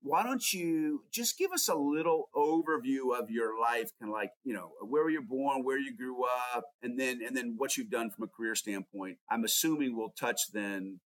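A middle-aged man hosting an interview podcast, talking in a rambling, earnest way, his voice dropping quietly at the ends of sentences. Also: medium pitch, moderate pace, hardly any pauses, no room sound, rough recording. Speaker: podcast host. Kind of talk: conversational interview.

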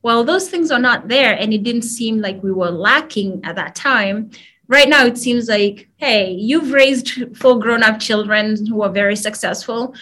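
A woman in her thirties with a Kenyan accent, speaking as a guest in a video call interview, soft and reflective. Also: on the high side, unhurried.

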